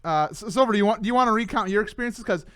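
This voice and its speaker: A high-pitched man hosts a relaxed chat show and talks in an animated way, often restarting his sentences. The sound is dry and close, with no room echo around it. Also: racing, clear, resonant tone. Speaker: host. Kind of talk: casual podcast chat.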